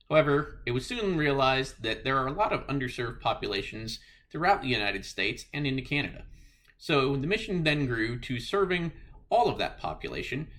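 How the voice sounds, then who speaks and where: A man giving a scripted club presentation in formal, measured wording as its incoming president. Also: medium pitch, bright, forceful tone.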